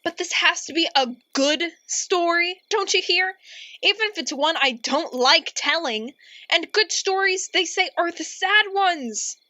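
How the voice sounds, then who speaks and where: A woman acts out an earnest storyteller with a bright, high voice and a very dry, close sound. She delivers gloomy storybook narration deliberately and theatrically, as someone easily exasperated. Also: animated, no echo, full character voice. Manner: melodramatic, deliberate.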